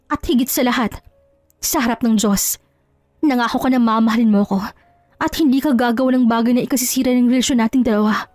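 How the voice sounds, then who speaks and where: Over background music comes a high, closely recorded voice: a betrayed wife played by a woman in an audio drama. She is hurt and proud, scolding with scornful questions and resolute in her indignation. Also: quick pace, a little mellow, no echo, natural voice. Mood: hurt, reproachful. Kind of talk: Tagalog audio drama acting.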